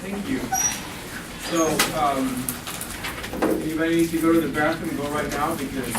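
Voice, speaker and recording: A man in his forties runs a workshop in a lecture room, talking chattily and quizzing the audience in a slightly high voice. He was recorded by a camera far away, so his voice sounds echoey and there is a lot of noise. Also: steady tone, normal speed. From Guatemala and Costa Rica. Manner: chatty, asks the audience questions. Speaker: financial literacy educator. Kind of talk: classroom workshop presentation.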